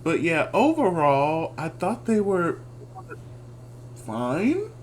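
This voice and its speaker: A man's voice: on the high side, huge pitch swings, drawn-out words, a little hiss or hum. A man from Texas with a Southern accent, co-hosting a casual review chat in a laid-back, chuckling way. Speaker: podcast co-host.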